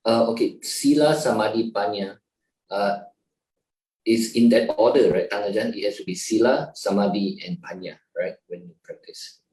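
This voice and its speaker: A man's voice: fairly low pitch, normal speed, darkish tone. A man asking a teacher tentative questions over a video call, checking his understanding as he goes. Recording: echoey video call audio.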